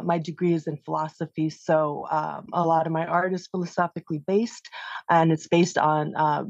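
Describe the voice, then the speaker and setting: A woman's voice: fairly low pitch, a little mellow, no room sound. Chatty woman on a dull video call. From South Phoenix, Arizona. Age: middle-aged. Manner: chatty, laughs often, with many fillers. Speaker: artist. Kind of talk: self-introduction on a video call. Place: home room, indoors.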